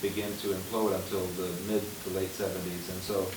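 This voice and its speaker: A man's voice: deep voice, level tone, a little fast. Sex: man. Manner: good-humored storyteller. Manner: rambling, good-humored, frequent restarts. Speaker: winemaker on a panel. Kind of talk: casual panel storytelling. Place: event room. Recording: echoey room, distant mic, hiss.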